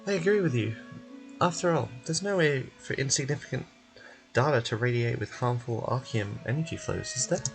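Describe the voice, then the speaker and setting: A man voicing a sharp, persistent female reporter from a video game, asking formal, pointed questions. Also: mid-range voice, animated, moderate pace, creaky, soft background music, poor audio. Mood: dryly skeptical.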